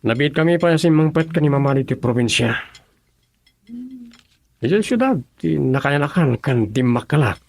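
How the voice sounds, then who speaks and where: Lively, creaky-voiced cocky young man. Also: a little high, normal speed, pauses often. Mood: friendly, eager. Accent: Filipino, Ilocano. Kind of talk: Ilocano radio drama acting.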